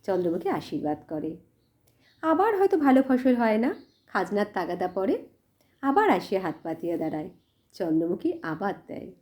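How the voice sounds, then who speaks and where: A woman narrating a literary story in an expressive, measured storytelling manner. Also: mid-range voice. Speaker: audiobook narrator.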